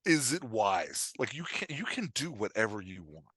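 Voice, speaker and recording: Bright-voiced man joking through casual podcast advice. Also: on the low side, big pitch swings, moderate pace, slightly rough, no echo, studio quality. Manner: emphatic, joking, acts out imagined exchanges. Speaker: guest expert on writing fiction dialogue. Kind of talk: animated casual podcast chat.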